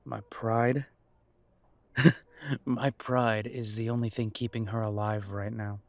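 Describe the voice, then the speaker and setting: A man's voice: lowish voice, little pitch movement, takes it slowly, no room sound. A man playing a wounded, bitter werewolf son in an audio drama, guarded and weary with a sarcastic edge. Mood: firm, bitter. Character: bitter, wounded adult werewolf son confronting his parents. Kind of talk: audio roleplay drama acting.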